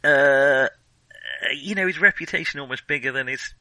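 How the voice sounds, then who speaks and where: A man co-hosting a film podcast, talking casually and thinking aloud with frequent hesitant pauses. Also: medium pitch, pushed and bright, no echo. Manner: hesitant, thinking aloud, pausing often mid-sentence.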